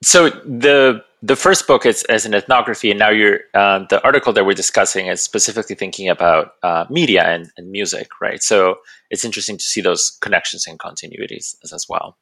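A man hosting a podcast interview. His voice sits a little low and has a bright, clear edge. He speaks as an interviewer addressing a guest. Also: fairly quick. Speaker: podcast host. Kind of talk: podcast interview with scripted introduction.

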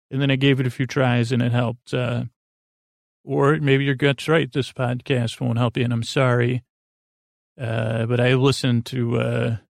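A man hosting a sleep podcast, speaking gently in a rambling, self-interrupting way that drifts off on tangents. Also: no echo, studio quality. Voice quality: creaky, low-key delivery. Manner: gentle reassurance, flat pitch. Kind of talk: meandering bedtime monologue.

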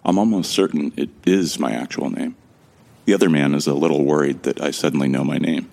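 An extremely deep-voiced amnesiac man narrates an audio drama in the first person. His voice crackles with gravelly fry. He is observant and friendly, with a wry, conversational delivery. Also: fairly quick, warm tone.

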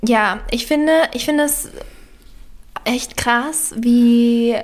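A woman's voice: a little high, extremely slow. A woman co-hosting a podcast in casual chat, talking in a thoughtful, hedging way. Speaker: podcast co-host.

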